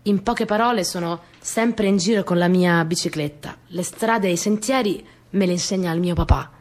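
A woman's voice: fairly low pitch, moderate pace, darkish tone, lightly acted. An actress playing a stubborn, eager sixteen-year-old girl, a wartime partisan courier, in an earnest theatrical monologue full of repetitions. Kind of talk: theatrical monologue, first-person storytelling.

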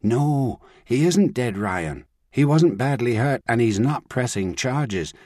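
A man puts on a composed older woman, a grandmother who keeps her voice steady and measured. Her voice sits a little higher than his own, and the sound is close and dry, with no room around it. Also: dry recording. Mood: calm, firm. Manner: calm, measured, reassuring.

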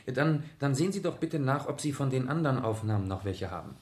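An actor playing a courteous, inquisitive gentleman detective in a radio play, polite and measured. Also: medium pitch, lively intonation, natural voice. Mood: explaining calmly. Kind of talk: 1970s German radio play acting.

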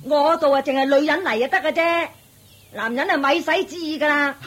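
A woman's voice: high-pitched, fairly quick, fairly bright tone. An actress playing a scornful, bossy mother-in-law in a radio drama, sneering and hectoring in Hong Kong Cantonese.

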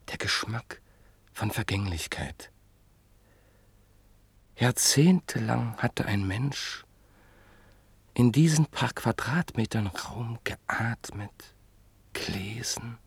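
A man narrating a classic literary radio play in a measured, reflective way that sometimes sinks to a near whisper. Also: on the low side, vocal fry, a bit bright, slightly rough, dry recording.

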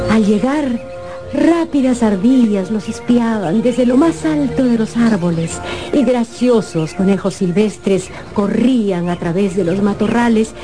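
A woman narrating a scripted radio drama as an expressive, theatrical storyteller. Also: medium pitch.

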